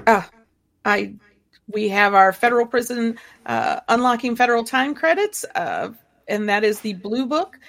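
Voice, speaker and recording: Woman podcast co-host from Ohio, fairly high voice with a gravelly edge, chatty. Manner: animated, chatty, quick asides. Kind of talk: casual podcast chat.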